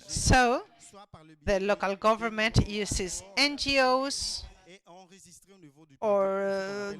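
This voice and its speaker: Brief: conference presenter, very slow and deliberate, halting with restarts, mid-pitched voice. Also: fairly bright tone, rough recording. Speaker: panel speaker presenting a study.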